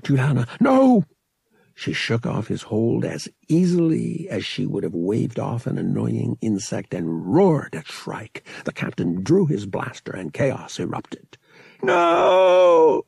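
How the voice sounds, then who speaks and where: Male audiobook narrator: low, raspy, expressive and suspenseful, with big swings in intonation. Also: unhurried, few pauses, no room sound. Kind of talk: dramatic scripted storytelling.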